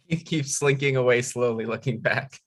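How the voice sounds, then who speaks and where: A man's voice: medium pitch, steady tone, unhurried. A man reading out scripted stage directions as narrator at a comedy table read.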